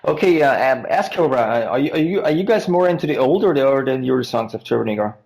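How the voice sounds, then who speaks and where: A man's voice: mid-range voice, animated, creaky, a little mellow. A man hosting a podcast interview, asking long questions with frequent stumbles and restarts. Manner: halting, stumbles over words. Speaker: podcast host.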